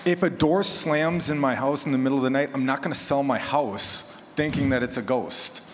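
A man's voice: medium pitch, moderate pace. A man speaking emphatically and conversationally as a podcaster in a casual panel discussion. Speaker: horror fiction podcaster on a panel. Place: large echoey room at a convention panel. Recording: echoey hall, faint background noise.

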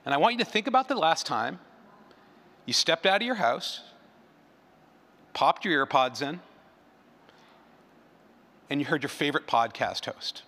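A man's voice: a little high, sharp tone. A man giving a conference presentation in a conversational way, often doubling back on his phrases. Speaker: conference speaker, advertising researcher. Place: large room, live event. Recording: reverberant hall sound.

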